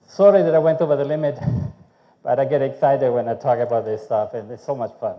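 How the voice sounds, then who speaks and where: A man's voice: mid-range voice, fairly even intonation, brisk, big-room sound, highs cut off. A man from Chile, a food researcher giving a conference talk, speaking conversationally and often checking in with "okay?". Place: conference hall.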